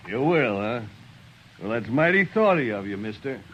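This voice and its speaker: An actor playing a swaggering, bullying Texas cowboy with a cocky, taunting drawl in a 1950s radio western. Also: male voice, mid-range voice, sing-song, moderate pace, a bit bright, dull audio. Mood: mocking. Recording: very noisy old radio recording.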